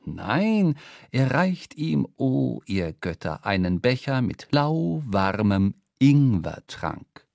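A man narrating a literary radio drama as an expressive storyteller. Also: mid-range voice, slow pace, vocal fry, no echo, studio quality.

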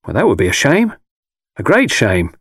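A man's voice: medium pitch, huge pitch swings, normal speed, fairly bright tone, slightly rough, dry recording, clean studio sound, pitched above the performer's own voice, exaggerated voice. A man playing a curious, sceptical young man in an audiobook, politely questioning and coaxing. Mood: regretful. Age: young adult. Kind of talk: dramatised audiobook dialogue.

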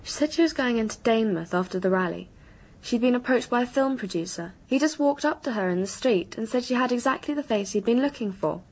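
A woman's voice: a little high, expressive, fairly quick, darkish tone, slightly rough, low-quality recording. An actress playing an anxious, earnest English schoolgirl in a radio drama, confiding with an apologetic manner.

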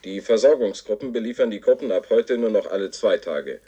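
An actor playing the formal, declamatory announcer of a dystopian regime's propaganda broadcast in a radio play. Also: male voice, on the low side, expressive, brisk, dark tone, husky, muffled recording. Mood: stern, official. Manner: formal, declamatory, slogan-repeating. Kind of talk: scripted public proclamation.

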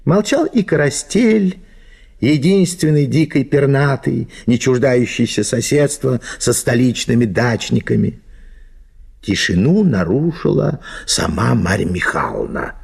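A man reading a literary short story as an expressive, theatrical narrator. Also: medium pitch, measured pace, vocal fry, slightly rough. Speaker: narrator of an audio play.

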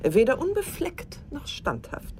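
An actress playing a seductive, teasing middle-aged newlywed in a radio drama, worldly and unrepentant, with an ironic, coquettish way of talking. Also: female voice, sits high, wildly swooping pitch, unhurried, a little mellow, a little hiss or hum, exaggerated voice. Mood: defiant, ironic.

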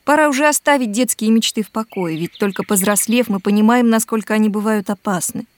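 An actress playing a caring, sensible woman pleading in an audio drama, earnest and gently reproachful. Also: medium pitch, brisk, no room sound, natural voice. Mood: earnest, dissuading.

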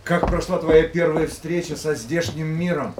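An actor playing a worldly, restrained, fatalistic lover in a radio play, speaking in a measured, wry way. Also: male voice, on the high side, steady tone, roomy sound, noisy background, lightly acted. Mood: reflective.

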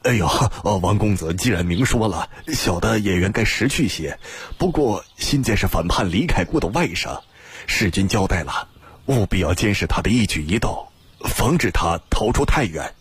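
Guy doing a fawning hefty govt agent for an audiobook, raspy, hesitant, super humble. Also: deep voice, animated, normal speed, no room sound, full character voice. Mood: apologetic, deferential. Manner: obsequious, hesitant. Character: fawning heavyset government agent, deferential and hesitant.

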